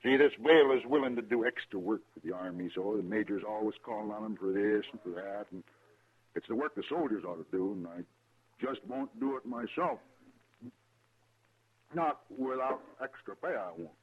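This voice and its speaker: An American actor playing a plain, folksy army storekeeper with a grievance in a 1950s radio western. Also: male voice, on the low side, animated, normal speed, slightly rough. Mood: grumbling. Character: folksy, aggrieved army storekeeper, married working man. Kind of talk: 1950s radio drama acting. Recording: tinny vintage 1950s radio recording.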